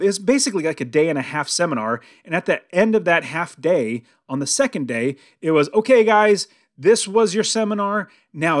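A slightly high-voiced American man in his early forties talks like a podcast host coaching his listeners. His delivery is conversational and motivational, with emphatic stress and phrases repeated to drive points home. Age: early 40s. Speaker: podcast host and real estate investing coach.

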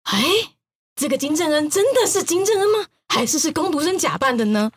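A woman with a Taiwanese accent telling news stories in an expressive, teasing podcast style. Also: high-pitched, a little fast. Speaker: news podcaster, guest storyteller. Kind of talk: playful news storytelling.

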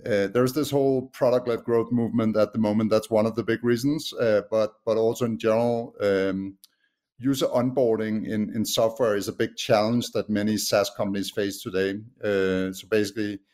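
A middle-aged man from Denmark with a Danish accent, relaxed and chatty as a podcast guest. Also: lowish voice, moderate pace. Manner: relaxed, frequent restarts and fillers. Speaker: podcast guest, SaaS co-founder. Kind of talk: casual interview chat.

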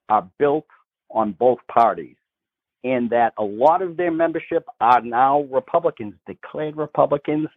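A middle-aged man with a Boston accent, a state politician calling in to a radio interview, blunt and emphatic, often restarting his sentences. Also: medium pitch. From Massachusetts, north of Boston. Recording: tinny phone line.

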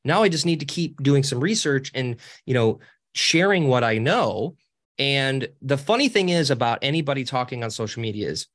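A podcast guest, a man with a high voice and a cackling laugh. He talks casually and cheekily, his pitch swooping up and down as he rambles and restarts his sentences. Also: fairly bright tone. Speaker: podcast guest, social media co-founder. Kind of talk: casual interview talk.

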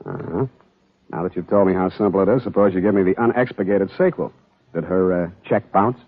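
An actor playing a cocky, sardonic hard-boiled private detective in a 1940s radio drama. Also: male voice, low voice, brisk, narrow, tinny audio. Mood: dry, wisecracking. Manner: sardonic, wisecracking. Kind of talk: 1940s radio acting and first-person narration. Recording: band-limited 1940s radio broadcast with music.

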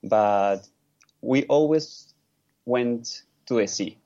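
A man from Peru, an environmental lawyer and podcast guest, answering questions in a halting way with many restarts and fillers. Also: medium pitch, dark tone, muffled recording. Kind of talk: conversational interview answers.

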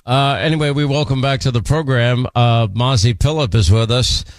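A man hosting a political talk radio show, speaking in a brisk, opinionated way. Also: medium pitch.

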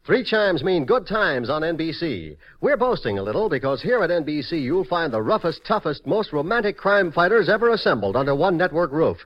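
An American man with a fairly high, very lively voice delivers a punchy radio promo. It is 1950s network announcing with a muffled old sound. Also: fairly quick, hardly any pauses.

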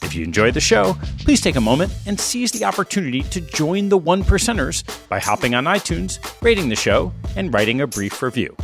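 A man hosting a podcast, reading a scripted introduction in a presenter's delivery. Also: a little high. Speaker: podcast host.

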